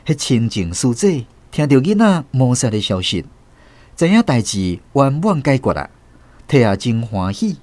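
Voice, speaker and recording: A man's voice: mid-range voice, very animated, a little slow, a little mellow, dry recording. A man with a Taiwanese accent reading scripted literary narration for a radio drama. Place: dry studio.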